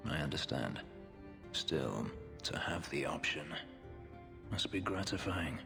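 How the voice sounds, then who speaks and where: A man voicing a guarded, brooding elf fugitive in a video game, gravelly, measured and dry-witted. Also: very deep voice, lively intonation, exaggerated voice. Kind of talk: dramatic video game acting. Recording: long reverb, music underneath. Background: music.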